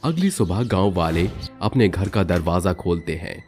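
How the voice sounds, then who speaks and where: A man narrating a scripted horror story for a cartoon storybook channel, steady and dramatic. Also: low voice, normal speed, faint music behind.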